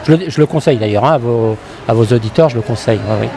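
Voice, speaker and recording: A man's voice: mid-range voice, very fast, creaky, low-quality recording. A man in his early sixties, a longtime fan, answering interview questions at a convention and often repeating words as he searches for them. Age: 60s. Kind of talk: casual interview. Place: busy convention floor. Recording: crowd noise behind. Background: crowd chatter.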